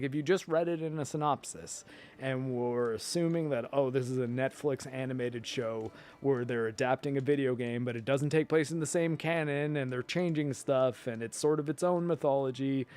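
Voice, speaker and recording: A man hosting a video, giving casual, rambling film and TV commentary in an informal, emphatic way, often halting mid-thought. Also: mid-range voice, a little mellow. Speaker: video host.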